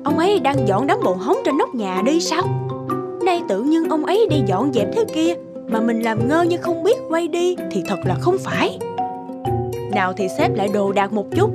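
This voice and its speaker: A woman's voice: high voice, very animated, moderate pace. A woman voicing an older village wife who exclaims and talks to herself, in a dubbed cartoon. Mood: surprised. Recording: cartoon soundtrack with loud children's music.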